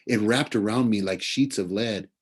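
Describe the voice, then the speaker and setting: A man narrating a children's novel aloud like a teacher reading to students, in an expressive storytelling way. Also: fairly low pitch, normal speed, husky.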